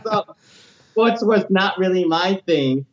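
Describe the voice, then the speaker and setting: A man in his late twenties speaks with a high tenor voice, sitting a little above most men. He tells his story with animated, energetic delivery. The recording sounds muffled and lo-fi. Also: takes it slowly. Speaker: interview guest, teacher and music minister. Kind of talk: personal testimony interview.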